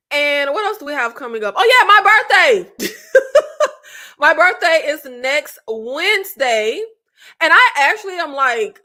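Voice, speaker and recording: A woman in her late thirties hosts her own channel and talks straight to her viewers in a loose, chatty way. Her voice sits high and sounds bright and sharp. She runs on in slangy asides and laughs often. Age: 30s. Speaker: channel host. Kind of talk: casual chat to viewers.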